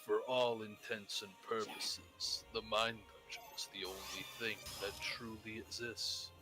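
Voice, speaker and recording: A man's voice: flat delivery, unhurried, over music, natural voice, poor audio. A man doing Crow, brooding mysterious narrator. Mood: grave narration. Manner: low, solemn and dramatic. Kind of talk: impression of a game character.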